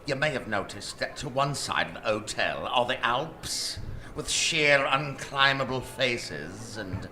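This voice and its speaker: A man playing a gnarled, creepy desk clerk in a live radio comedy-horror, halting and obsequious. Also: mid-range voice, animated, sharp tone. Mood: creepy, pleased. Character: gnarled, creepy, slow-witted male hotel desk clerk. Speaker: actor. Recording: live stage recording, background noise.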